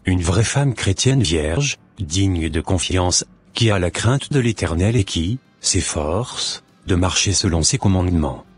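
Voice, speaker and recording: Deep-voiced devout cartoon suitor, played by a man. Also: animated, unhurried, breathy edge, soft background music, full character voice. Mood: devoted. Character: devout, sincere young suitor. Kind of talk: scripted animated religious story.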